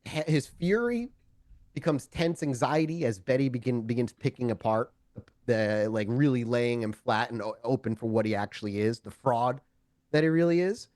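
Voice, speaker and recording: A man's voice: mid-range voice, normal speed, no echo. A man narrating a tabletop game as game master, improvising with plenty of profanity. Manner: profane, animated storytelling.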